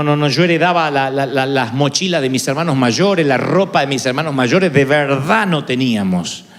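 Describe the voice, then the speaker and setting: Argentine pastor preaching, high voice. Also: male voice. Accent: Argentine Spanish. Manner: storytelling with humor, acting out quoted voices. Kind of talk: sermon. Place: church.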